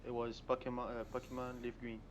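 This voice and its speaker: A man's voice: mid-range voice, completely flat delivery, fast talker. French-accented guy, french his first language, hesitant short answers, phone mic, bit of background noise. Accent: French-speaking Quebec, Moroccan-born. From Morocco. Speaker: podcast guest. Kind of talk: casual podcast chat. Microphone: mobile phone.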